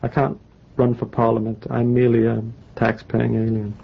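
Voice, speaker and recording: A man in his forties from New England, a novelist answering interview questions in a halting, wry way, with many restarts and a faintly English-tinged American accent. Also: medium pitch, completely flat delivery, creaky, husky. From New England, United States. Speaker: novelist guest. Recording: muffled lo-fi interview tape.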